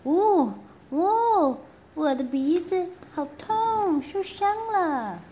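A woman's voice: very animated, extremely slow, dark tone, a little hiss or hum, exaggerated voice. Woman doing a whiny little princess. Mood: whimpering. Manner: high wailing refusals.

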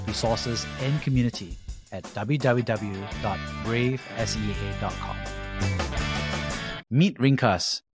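A man hosting a podcast, delivering the show intro and ad reads in an upbeat, energetic manner. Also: lowish voice. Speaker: podcast host.

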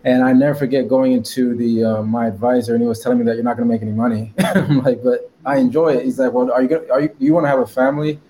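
A man's voice: mid-range voice, fairly even intonation, rapid-fire, dark tone, a little hiss or hum, rough recording. A man from Connecticut, a guest in an interview, talking casually with lots of fillers. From Connecticut, USA. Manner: rambling with many fillers and laughs.

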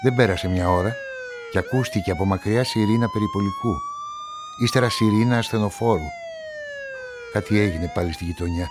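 An actor playing a brooding, lovelorn narrator of a radio crime story, measured and reflective. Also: male voice, on the low side, huge pitch swings, background noise. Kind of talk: scripted first-person radio drama narration.

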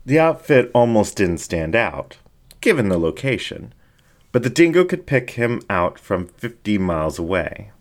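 Low, slow, animated male storyteller. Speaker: story narrator. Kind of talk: dramatic storybook narration.

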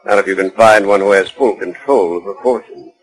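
A man's voice: fairly low pitch, brisk, natural voice, poor audio. An actor playing a cold, haughty, mercenary middle-aged father, formal and stern, in 1940s radio acting style. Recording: old 1940s radio recording with music.

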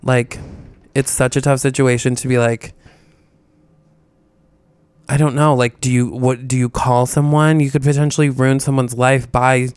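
A man with a smooth, resonant voice co-hosts a podcast in loose, rambling chat, leaning on "like" and restarts. The sound is dry and close, with no room around it. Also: medium pitch, little pitch movement, moderate pace, darkish tone, no room sound. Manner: rambling, filler-heavy, reads listener letters aloud. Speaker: podcast co-host.

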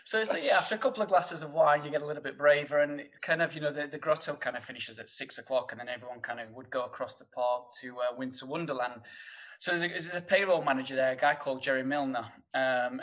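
A man's voice: mid-range voice, a bit bright. A man from Sheffield with a Northern English accent, telling a casual story as a podcast guest, stammering and laughing often. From Sheffield, England.

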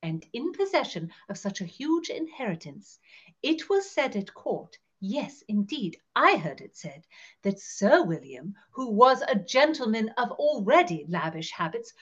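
Woman playing a gossipy, theatrical Tudor gentlewoman, slightly muffled. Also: on the high side, very animated, unhurried, breathy edge, full character voice. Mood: knowing, grand. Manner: archaic, declamatory, emphatic storytelling. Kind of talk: scripted character monologue for an educational show.

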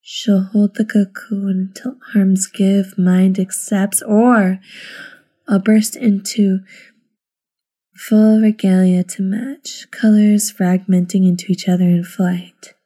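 A woman telling a personal story and reading poems aloud in an intimate, reflective way, with halting pauses. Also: medium pitch, little pitch movement, warm tone. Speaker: listener contributor.

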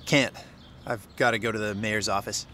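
A man's voice: on the low side, steady tone, normal speed, sharp tone, a little hiss or hum. A man playing a sardonic, frustrated young small-town reporter in a naturalistic audio drama. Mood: sarcastic. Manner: dry, frustrated, wry.

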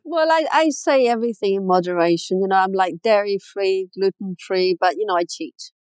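A frank, self-mocking woman in her fifties who grew up in Chile talks freely as an interview guest. Her voice is mid-pitched and dry, with no room around it, and she often laughs at her own lines. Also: moderate pace, darkish tone, clean tone, dry recording. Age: 50s. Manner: candid, self-deprecating, laughs often, many "you know"s. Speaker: guest, fashion designer. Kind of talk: casual interview chat.

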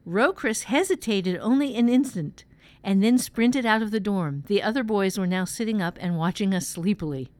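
Woman reading as steady, protective young storyteller, measured. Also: mid-range voice, no room sound, lightly acted. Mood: urgent. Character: first-person boy narrator, steady, protective dormitory pupil. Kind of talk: scripted fantasy story reading.

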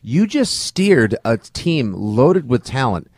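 A man's voice: medium pitch, very animated, takes it slowly, darkish tone, no echo, clean studio sound. A man co-hosting a sports podcast in casual banter, with a wry, sarcastic way of talking.

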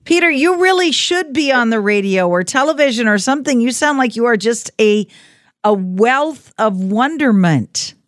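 A woman hosting a call-in radio show, chatting gently and playfully with a caller. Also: on the high side, expressive, normal speed, no echo, studio quality. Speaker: radio host. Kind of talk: late-night radio call-in chat. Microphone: studio mic.

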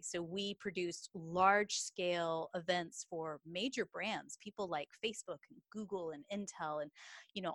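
A woman's voice: medium pitch, fairly bright tone, no echo. A woman in her forties with a Texan accent, a business coach guesting on an interview and chatting upbeat with folksy phrases. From Texas. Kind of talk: casual interview chat.

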